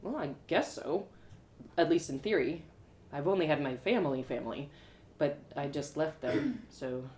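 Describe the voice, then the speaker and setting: A woman's voice: low-pitched, animated, normal speed, a little mellow, echoey room, a little hiss or hum, pitched below the performer's own voice. A woman voicing a curious, unsure young girl new to town, questioning and hesitant, in a story. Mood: hesitant, wistful. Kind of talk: storybook character voice.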